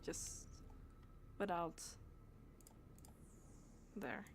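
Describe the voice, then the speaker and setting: A woman's voice: lowish voice, drawn-out words, a little hiss or hum. A woman doing casual live game commentary, rambling and giggly as she reacts aloud to what happens on screen. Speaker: game streamer.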